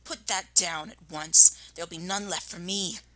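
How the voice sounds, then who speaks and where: Woman voicing an indignant rat, sharp and bossy. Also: on the low side, fairly even intonation, normal speed, no room sound, low-quality recording. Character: shrieking, territorial, rude rat hoarding his cider.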